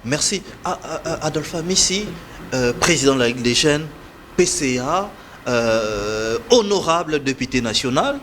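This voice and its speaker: Male interviewer, animated pitch, prodding questions, faint hiss. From Democratic Republic of the Congo. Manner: prodding, affirming with short interjections. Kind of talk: political interview.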